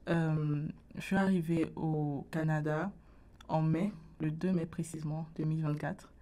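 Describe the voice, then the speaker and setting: Young woman, low and flat. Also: a little slow. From Burkina Faso. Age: late teens. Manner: soft-spoken, searches for words. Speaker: interview guest. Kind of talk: radio interview. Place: radio studio.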